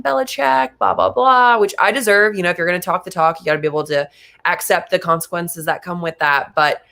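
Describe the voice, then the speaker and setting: A man in his late twenties co-hosting a casual sports talk show, animated and quick in bursts, with many fillers. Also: high-pitched. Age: late 20s.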